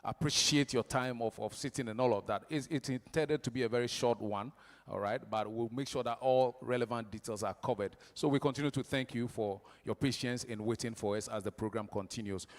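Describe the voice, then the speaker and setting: A man's voice: medium pitch, a little fast. Man emceeing in an echoing hall. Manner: emphatic, rousing the audience. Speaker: master of ceremonies. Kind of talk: event emcee announcements. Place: large event hall. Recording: reverberant hall, long echo.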